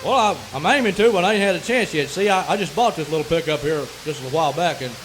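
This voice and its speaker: An actor playing a folksy, rambling, stammering country pickup driver with a Southern US accent in a radio comedy. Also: male voice, fairly high pitch, lively intonation, quick pace, noisy background, close to an everyday voice. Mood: chatty, folksy. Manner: rambling, stammering, cheerfully oblivious.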